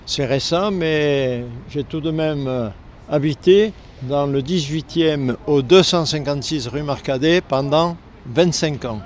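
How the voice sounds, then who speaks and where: An older man with a southern French accent from Lozère, a retired air force serviceman speaking earnestly in a street interview, halting when the subject turns painful. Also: a little high, slight background noise. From Lozère, southern France. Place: outdoors on a city street.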